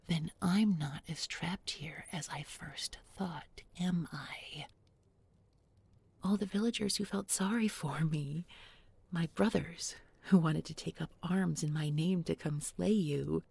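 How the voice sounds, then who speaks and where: Woman playing a breathy, sly fairy-tale captor. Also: fairly low pitch, a little mellow, dry recording, full character voice. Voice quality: breathy, often dropping to a whisper. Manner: deliberate, coaxing, mock-tender with pointed irony. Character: bookish village beauty turned sly, menacing captor. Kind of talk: intimate audio roleplay spoken to one listener.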